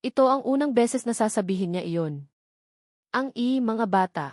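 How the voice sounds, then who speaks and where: A woman with a Filipino accent narrating a scripted dramatic love story in measured phrases with deliberate pauses. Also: fairly high pitch, animated, darkish tone, dry recording, clean studio sound. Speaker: audiobook narrator.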